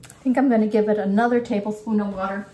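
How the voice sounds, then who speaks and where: A woman's voice: mid-range voice, steady tone, fast, dark tone. An older woman giving a casual, unscripted cooking demonstration, talking through each step as she works. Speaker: cooking instructor. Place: home-style kitchen, indoors at a counter. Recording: clear kitchen clatter and background noise.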